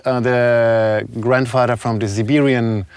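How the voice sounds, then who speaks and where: A man with a continental European accent, a non-native English speaker, talking reflectively and haltingly as an interview guest. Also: medium pitch, little pitch movement, smooth. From Serbia, moved to Germany as a child. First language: not English. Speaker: guest, shaman and spiritual healer. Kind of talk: conversational interview talk.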